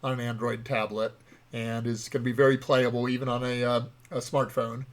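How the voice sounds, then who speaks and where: A man's voice: medium pitch, level tone, fairly quick. A man chatting casually and playfully as he co-hosts a livestream about game development. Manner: playful, punning.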